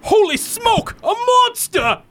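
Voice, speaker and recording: A man's voice: very high voice, big pitch swings, takes it slowly, pushed and bright, a little hiss or hum, exaggerated voice. A man playing a panicky, shrieking bystander in an amateur superhero radio play. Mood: terrified. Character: terrified, hysterical male bystander.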